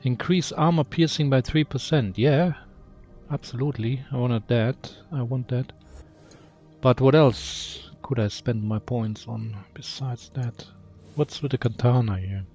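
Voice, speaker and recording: A man's voice: mid-range voice, mellow. A man giving casual gameplay commentary, musing aloud, reading menu text and asking himself questions. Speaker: video game player and channel host.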